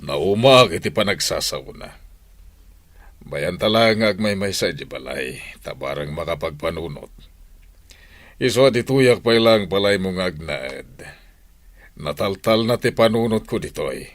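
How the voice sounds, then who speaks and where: A man's voice: deep voice, lively intonation, unhurried, no echo. A man playing a weary but supportive middle-aged father in an audio drama.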